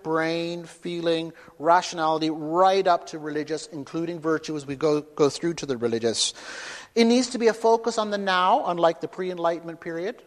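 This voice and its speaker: A man giving a public lecture and taking questions, speaking emphatically and building to loud, forceful peaks. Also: a little high. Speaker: speaker at a talk. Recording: reverberant hall.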